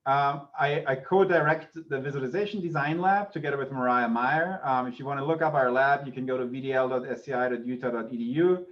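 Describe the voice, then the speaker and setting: Austrian guy, native german speaker, teaching a class online, normal pitch, kinda dull muffled sound. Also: quick pace, few pauses. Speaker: university lecturer, associate professor. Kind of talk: informal online lecture.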